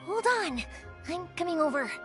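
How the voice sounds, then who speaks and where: A bold, warm-hearted young woman from an anime game. Her voice sits high and swings freely in pitch. She talks in a breezy, chatty way and is quick to reassure. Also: expressive, music in the background. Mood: reassuring. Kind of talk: anime video game voice acting.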